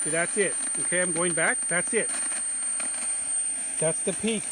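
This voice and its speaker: Higher-voiced man giving a casual tutorial. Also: a little slow, noisy background. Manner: informal, repeats phrases as he explains. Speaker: radio repair hobbyist demonstrating.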